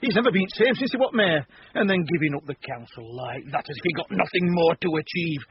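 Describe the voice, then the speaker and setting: An actor playing a blunt, bawdy, envious small-town councillor with a Northern English accent in a British radio drama. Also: male voice, sits high, expressive, fast talker. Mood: indignant, envious. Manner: blunt, earthy, grumbling. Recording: dull, noisy lo-fi radio recording.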